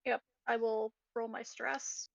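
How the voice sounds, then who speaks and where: A woman's voice: fairly high pitch, flat delivery, slow, creaky, no echo, muffled recording. A woman talking casually as a player in a tabletop game.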